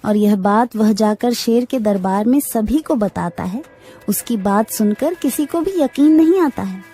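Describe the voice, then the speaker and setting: A woman's voice: mid-range voice, expressive, measured pace, mellow, slightly rough. Woman narrating a story over prominent music. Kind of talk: scripted storybook narration for a cartoon.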